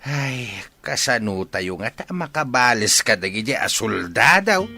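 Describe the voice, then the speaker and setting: A woman plays an excitable village gossip in a radio comedy. The voice is sharp and forceful, leaping around in pitch with mocking exclamations. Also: unhurried, soft background music, exaggerated voice. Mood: scornful, incredulous. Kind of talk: Ilocano radio comedy drama acting.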